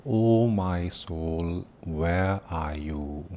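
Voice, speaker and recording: A man leading a guided meditation in a solemn, deliberate manner, speaking in short phrases with long pauses between them. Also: sits low, mellow, a little hiss or hum. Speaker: meditation teacher and podcast host. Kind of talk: guided meditation with prayerful invocation.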